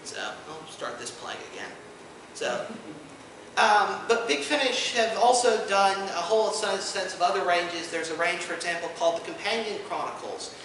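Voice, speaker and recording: A man giving an informal panel presentation to an audience, an enthusiastic explainer who speaks haltingly with many asides. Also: high-pitched, lively intonation, a bit bright. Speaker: convention panelist presenting audio drama releases. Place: indoor convention panel room. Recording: echoey room from far off, noisy.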